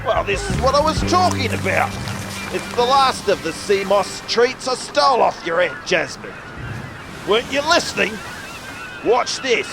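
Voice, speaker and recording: A woman's voice: medium pitch, big pitch swings, unhurried, vocal fry, over music, low-quality recording. A woman playing a gloating, greedy villainous general manager in a melodramatic radio play, boastful and scheming.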